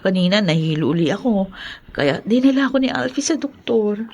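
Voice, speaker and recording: An actress playing a tender, grateful young expectant wife in a Tagalog radio drama, speaking earnestly. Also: female voice, medium pitch, animated, brisk, a little mellow. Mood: hesitant, happy. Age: young adult.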